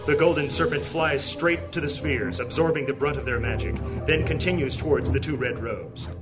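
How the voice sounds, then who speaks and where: A man's voice: fairly high pitch, normal speed, music in the background. A man narrating a fantasy audio drama in a scripted, dramatic storytelling style.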